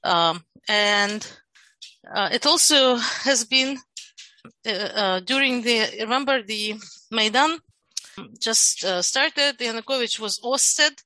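A woman's voice: a little high, bright, forceful tone, dry recording, rough recording. A woman from Ukraine whose first language is Russian, explaining her views in a casual group discussion, with frequent restarts and fillers. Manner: halting, many restarts and fillers. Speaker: guest.

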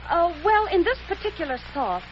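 An actress playing a spunky, chatty young typist out of work, brisk and eager, in 1940s radio acting. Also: female voice, very high-pitched, very animated. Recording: dull old radio recording, hiss.

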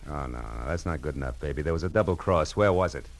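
An American actor playing a tough, wisecracking, world-weary private detective in a 1940s radio noir drama, sardonic and slangy. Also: male voice, extremely low pitch, fast talker. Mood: pressing, cynical. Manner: hard-boiled, sardonic, slangy.

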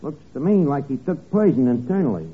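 Man playing an eager, high-pitched detective's sidekick, tinny old radio. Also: expressive, a little fast, lightly acted. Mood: earnest questioning. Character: eager, dim male detective's sidekick, narrating the case. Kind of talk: 1950s radio comedy detective spoof acting. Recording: tinny, band-limited old radio recording with hiss.

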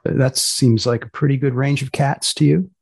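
A man hosting a humorous science podcast, prompting his guest in a terse, deadpan way. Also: mid-range voice, normal speed, gravelly, slightly rough. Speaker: podcast host and magazine editor.

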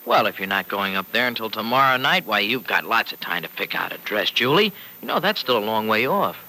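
An actor playing a fretful, protective, sardonic uncle in a folksy, hesitant way, in old-time radio serial style. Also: male voice, fairly low pitch, sing-song, brisk, bright, forceful tone, exaggerated voice. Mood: gently patient. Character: Uncle Freddy, gruff-hearted fretful family man, protective and sardonic. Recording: noisy vintage radio recording.

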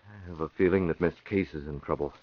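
A man's voice: low voice, fast, no room sound. An actor playing an earnest, determined crime-fighting newspaperman in an old-time radio drama, serious and urgent. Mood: grave, determined. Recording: muffled, faintly noisy vintage radio recording.

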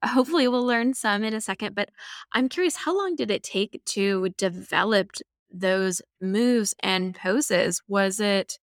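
A woman interviewer asks follow-up questions with curious encouragement. Her voice sits at a middle pitch. The sound is dry and close. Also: measured pace, dry recording.

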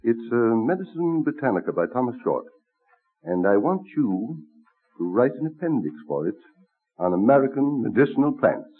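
A genial colonial statesman in an old radio play. He is courtly, measured and witty, with a lively mid-pitched voice on a muffled vintage recording. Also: slightly rough. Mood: earnest. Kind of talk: vintage radio drama acting.

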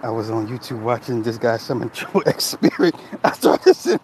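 A man's voice: mid-range voice, big pitch swings, normal speed, darkish tone, slight background noise. A man hosting a casual livestream who reads chat comments and tells stories in a loose, profane way, often laughing. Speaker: livestream host reading chat.

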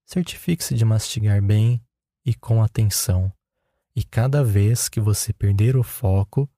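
A man with a Brazilian Portuguese accent leading a guided meditation in a calm, unhurried, instructional way. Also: medium pitch, dry recording, clean studio sound.

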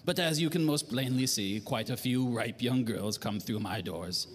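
An actor playing a smug, talkative middle-aged nightclub owner with affected refinement, in a 1940s-style noir radio drama. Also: male voice, mid-range voice, moderate pace, close to an everyday voice. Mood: amused, evasive. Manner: affectedly refined, loquacious, chuckling.

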